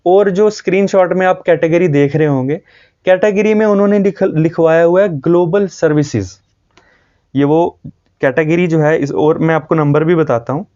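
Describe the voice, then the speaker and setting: A man's voice: a little high, normal speed, mellow, highs cut off. A man from Jammu with a North Indian accent, earnestly explaining and warning viewers in an informal way, repeating himself for emphasis. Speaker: video host.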